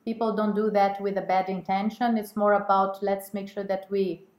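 A woman's voice: mid-range voice, little pitch movement, moderate pace, a little mellow. Hesitant woman in an echoey room. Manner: hesitant, many fillers and restarts. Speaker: guest, women's network co-chair. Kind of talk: casual interview talk.

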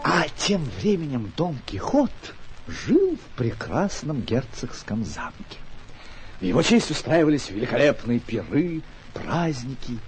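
An actor as an urbane, wry storyteller, narrating a classic tale in measured mid-century radio drama style. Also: male voice, medium pitch, sing-song, soft background music. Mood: wry, storytelling.

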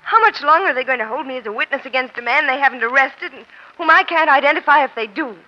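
A woman's voice: sits high, lively intonation, fast. A woman playing a tense, guarded, jealous witness held in jail, in strained 1940s radio melodrama style. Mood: bitter. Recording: dull, lo-fi old radio recording.

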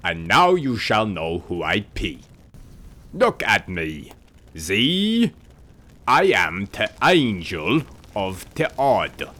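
A man voicing a gruff German-accented spirit. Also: fairly low pitch, very animated, slow pace, bright, forceful tone, exaggerated voice. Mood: bossy. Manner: broken English, blustering, bossy. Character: gruff insolent male spirit, squat and quarrelsome. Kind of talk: audiobook character voice.

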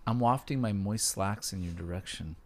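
A man's voice: on the low side, darkish tone. Goofy rambling man co-hosting a podcast. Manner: goofy, rambling, quick to laugh. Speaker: podcast co-host. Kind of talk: casual banter.

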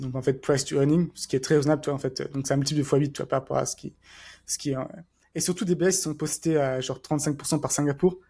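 A man's voice: a little high, warm tone, breathy edge. A man chatting casually as a podcast co-host, rambling with lots of fillers and restarts. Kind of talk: casual chat.

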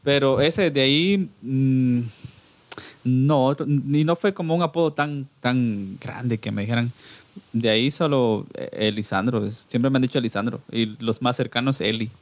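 A man's voice: medium pitch, normal speed, no room sound. A man in his thirties from Guatemala with a Guatemalan Spanish accent, chatting casually as a podcast guest. He laughs often and hesitates and restarts his sentences. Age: 30s. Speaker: podcast guest, band singer. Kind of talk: casual interview chat.

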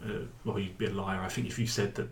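A man's voice: deep voice, little pitch movement, fast, echoey room, noisy background. An English man who performs spoken word, talking in a rambling, hesitant way with many restarts, in a casual interview. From England.

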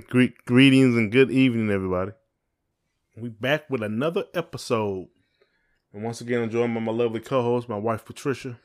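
Man, Southern American accent, mid pitch, casual podcast co-host, folksy, teasing. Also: brisk. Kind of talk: casual chat.

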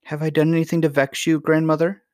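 A man's voice: medium pitch, a little fast, no room sound, clean studio sound, pitched above the performer's own voice, lightly acted. A man voicing an eager, polite young princess who speaks earnestly in a storybook reading. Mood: dismayed, pleading. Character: eager, polite little princess, curious and easily puzzled. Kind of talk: acted dialogue in a children's fairy tale reading.

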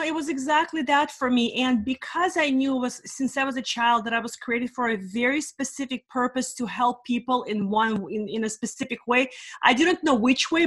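A woman's voice: fairly high pitch, a bit bright. A woman in her forties, originally from Russia, answering interview questions about her career in an earnest, reflective way with many fillers. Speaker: guest, life and business strategist.

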